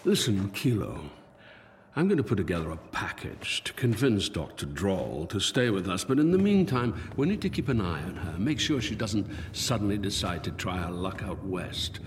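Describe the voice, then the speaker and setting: An actor playing a middle-aged, commanding and calculating corporate boss in a video game, speaking in an authoritative, clipped way. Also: male voice, deep voice, very animated, moderate pace, slight background noise. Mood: firm. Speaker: corporate executive. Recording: long reverb under music.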